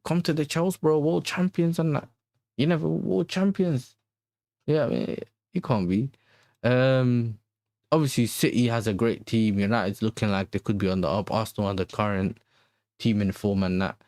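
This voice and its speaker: A man's voice: medium pitch, steady tone, moderate pace, darkish tone, dry recording, clean studio sound. A man from the Netherlands with a London accent, chatting casually as a football livestream host in slangy, conversational, repetitive phrasing. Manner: slangy, conversational, repeats himself. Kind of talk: casual livestream chat answering viewers.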